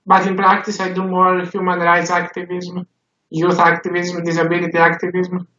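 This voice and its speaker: A woman's voice: fairly low pitch, completely flat delivery, moderate pace, a bit bright. A woman in her thirties, a journalist and activist, explaining herself in a chatty way in an interview. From Greece. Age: 30s. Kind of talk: documentary interview. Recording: roomy, dull, slightly noisy.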